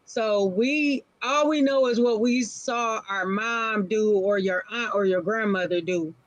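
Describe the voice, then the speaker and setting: A woman's voice: mid-range voice, measured pace, no room sound, lo-fi sound. A woman in her forties speaking frankly on a casual live-stream panel, in short, repeated phrases. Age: 40s.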